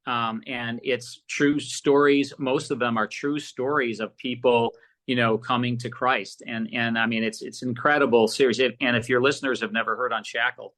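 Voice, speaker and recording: A man's voice: mid-range voice, fairly even intonation, normal speed, fairly bright tone. An American man chatting casually as an interview guest, enthusiastic and often repeating his words as he goes. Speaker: podcast guest, audio producer.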